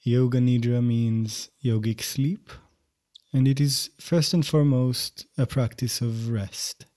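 A man teaching yoga nidra, guiding a meditation in gentle, measured, unhurried phrases. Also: mid-range voice, steady tone. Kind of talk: guided meditation instruction.

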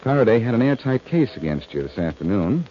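An actor playing a cool, cocky amateur sleuth with a flippant manner, in 1940s radio detective style. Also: male voice, fairly low pitch, sing-song, brisk, slightly rough. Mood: brisk, wry. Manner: flippant, wisecracking. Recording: dull old radio recording, faint noise.

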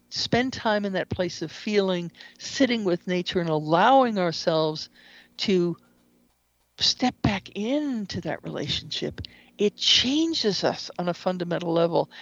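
A woman speaking as an interview guest, explaining spiritual ideas in an earnest, measured way. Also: fairly low pitch, no room sound, highs cut off. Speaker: interview guest, shamanic practitioner and author.

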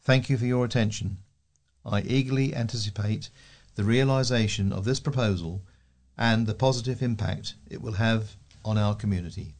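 A man's voice: fairly low pitch, normal speed, darkish tone. A man reading local newspaper letters aloud as a volunteer newsreader.

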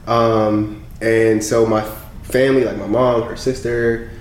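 A young man from Congo, a podcast guest, telling his story in casual, animated chat with frequent laughs. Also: fairly low pitch, takes it slowly, slight background noise. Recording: echoey room.

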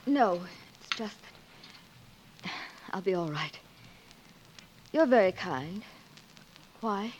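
Refined frontier army wife, medium pitch, reserved and courteous, noisy vintage radio recording. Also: female voice, lively intonation, slow pace, pauses often. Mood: polite, guarded. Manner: polite, formal. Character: genteel, reserved Southern army officer's wife. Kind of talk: 1940s radio acting.

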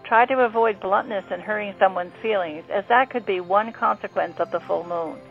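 Woman reading horoscopes for radio, gravelly fry, thin phone-like sound. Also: mid-range voice, normal speed. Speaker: astrologer presenting a horoscope segment. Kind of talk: scripted radio narration. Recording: phone-like narrow band, music behind. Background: music.

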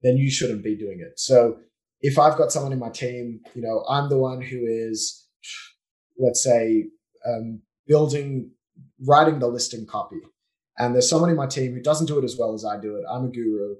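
A man with an Australian accent, a business owner speaking as a guest on a podcast in a relaxed, explanatory way with frequent fillers. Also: mid-range voice, normal speed, poor audio. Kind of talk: casual conversational interview.

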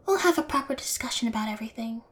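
High-pitched young female voice, woman acting a spoiled yandere prisoner, brazen, teasing, twisted, intimate audio roleplay. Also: lively intonation, racing, lightly acted. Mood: soft, scheming. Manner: taunting, brazen, teasing, drops to breathy whispers.

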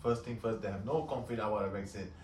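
A man from Malaysia, a podcast guest chatting casually in halting English that repeats words. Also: fairly low pitch, fairly even intonation, darkish tone. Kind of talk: casual chat. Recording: echoey room, distant mic, clear background noise.

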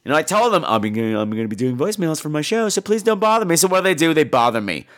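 A man's voice: on the high side, big pitch swings, racing. A man with a laryngitis-sore throat, chatting off the cuff as a podcast host in a campy, catty, sarcastic and sweary way. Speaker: podcast host taking listener voicemails. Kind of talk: casual, off-the-cuff talk show chat.

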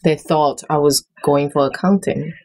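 A middle-aged woman from Taiwan, a small business owner being interviewed, who speaks in a measured, halting way and gives short answers. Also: deep voice, fairly even intonation, darkish tone, rough recording. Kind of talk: interview conversation.

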